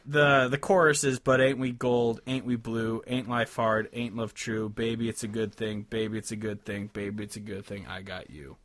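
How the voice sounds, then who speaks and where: Young guy with a lowish voice rambling about music on a podcast, dry close mic, loose and sweary. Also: fairly even intonation, normal speed, few pauses, a bit bright, no echo. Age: 20s. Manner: rambling, profane, informal. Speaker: podcast co-host. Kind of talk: casual music discussion.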